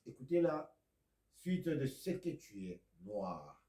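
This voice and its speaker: Male preacher from Congo, Lingala and Kikongo speaker, slow delivery with sudden near-whispers, mellow voice, echoey room. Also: mid-range voice, very animated. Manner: slow, swings from loud declaiming to near-whispers. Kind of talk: video sermon.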